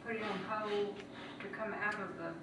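A woman speaks informally as a council member. Her voice is mid-pitched, heard in a very echoey room with clear background noise. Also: fairly even intonation, moderate pace, recorded from a distance. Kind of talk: informal meeting discussion. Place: indoor council chamber.

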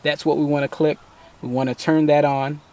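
Man in his thirties, slightly high voice, patient step-by-step tech tips, calm casual delivery, recorded inside a parked car. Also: normal speed. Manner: relaxed, patient, talks viewers step by step. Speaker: tech channel host. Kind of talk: casual how-to walkthrough.

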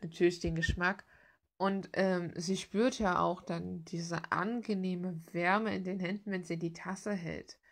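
A woman's voice: lowish voice, creaky. A young woman chatting informally and hesitantly while she draws, thinking aloud in slangy language. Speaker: art vlogger. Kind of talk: casual rambling chat while drawing. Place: cluttered room at home, at a desk.

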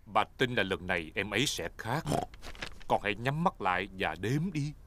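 A man voicing a big, jolly daddy pig telling stories in a Vietnamese cartoon dub, playful and animated. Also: fairly low pitch, normal speed, noisy background, natural voice. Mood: fatherly.

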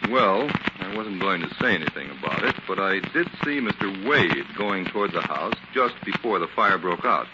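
Animated scheming shopkeeper. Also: male voice, low-pitched, moderate pace, few pauses. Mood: smooth, obliging. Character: outwardly obliging, scheming male furniture dealer, secret arsonist. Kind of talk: 1940s radio drama acting. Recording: noisy, muffled 1940s radio recording with clicks.

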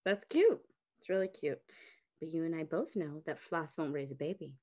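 Sassy no-nonsense young woman, animated pitch swings, frank, slangy, comedy table read. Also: normal speed. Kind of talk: table read acting, conversational.

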